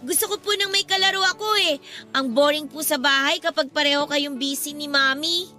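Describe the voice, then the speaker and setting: A woman's voice: high-pitched, fairly quick, sharp tone. A woman voicing a sweet, pleading little daughter in a radio drama, with a childlike, polite, whiny way of talking. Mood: whiny insistent. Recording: music bed under the voice.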